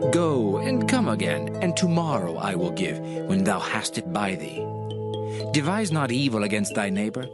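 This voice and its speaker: An actor playing a solemn, measured father instructing his son in a dramatized scripture reading, with music behind him. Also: on the low side. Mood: earnest instruction. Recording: loud music under the voice.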